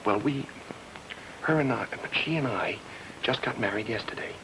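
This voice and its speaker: An actor playing an excitable, wisecracking newlywed private detective in 1940s radio noir style. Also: male voice, medium pitch, normal speed, background noise, close to an everyday voice. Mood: flustered pleading. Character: fast-talking newlywed private detective, flustered yet wisecracking. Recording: old radio drama, music underneath.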